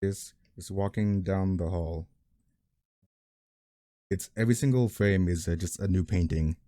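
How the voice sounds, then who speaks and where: A man with a very deep, warm, mellow voice chats bluntly about his own work. He is self-deprecating and swears freely. The sound is dry and close to the mic. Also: unhurried, no echo. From family from Mexico. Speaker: animator. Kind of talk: casual chat.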